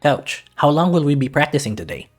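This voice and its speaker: A man reads as a cheeky male high school soccer player in a visual novel. He is smart-mouthed, with a dry, mock-obedient way of answering back. Faint music sits behind the voice. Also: very animated, fairly quick.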